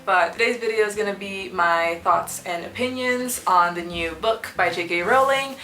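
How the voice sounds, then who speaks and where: A young woman, a student, chatting casually in a book review vlog, rambling with many fillers and restarts, with a sore throat that makes her clear it now and then. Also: medium pitch, normal speed, pushed and bright, echoey room, low-quality recording. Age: young adult. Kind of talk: casual chat. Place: small student apartment room.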